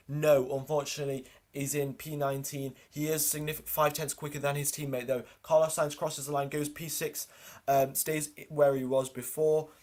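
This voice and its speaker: Man doing live race commentary. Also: a little high. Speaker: livestream host and commentator.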